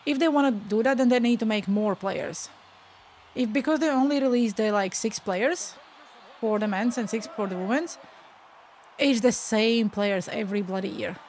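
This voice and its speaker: A woman's voice: fairly high pitch, normal speed, darkish tone, a little hiss or hum. A young woman in her twenties from Latvia, speaking with a Latvian accent, chatting casually and frankly with her live-stream viewers, often trailing off mid-word.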